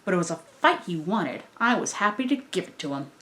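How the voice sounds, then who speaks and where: A woman voicing a male android detective in deadpan, melodramatic noir monologue, as in an amateur cartoon reading. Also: lowish voice, a little fast. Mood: hardboiled, wry. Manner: deadpan, overly descriptive, melodramatic. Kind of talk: hardboiled detective narration, amateur dramatic reading.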